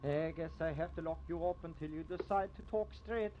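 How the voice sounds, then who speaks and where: An actor playing a blustering, folksy country sheriff in a 1950s radio drama. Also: male voice, on the high side, brisk, close to an everyday voice. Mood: gruff, defensive. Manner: blustering, colloquial. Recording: dull, hissy vintage radio recording.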